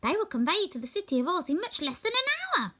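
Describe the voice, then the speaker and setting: Storybook mouse monarch, little and squeaky, pitched way up, instructive and brisk. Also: female voice, wildly swooping pitch, bright, forceful tone, heavily acted. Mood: helpful, brisk. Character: tiny squeaky Queen of the Mice, helpful and bossy.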